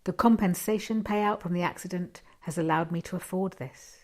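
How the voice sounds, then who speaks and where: A woman narrates a first-person short story in a slightly low voice. Her reading is expressive, shaped by dramatic pauses and hushed asides.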